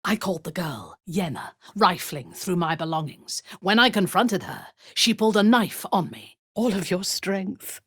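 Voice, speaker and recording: A woman playing a cruel, haughty dark elf warlord in a fantasy video game, speaking formally and deliberately. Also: fairly low pitch, lively intonation, breathy edge, no echo, studio quality, heavily acted. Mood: cold anger. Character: cruel, imperious female dark elf warlord, cold and devout. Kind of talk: dramatic fantasy game voice acting.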